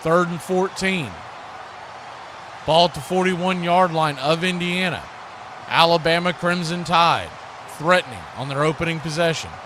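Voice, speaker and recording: A man's voice: fairly high pitch, a little slow, background noise. A man giving live football play-by-play commentary in short, clipped phrases. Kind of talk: live American football radio-style commentary.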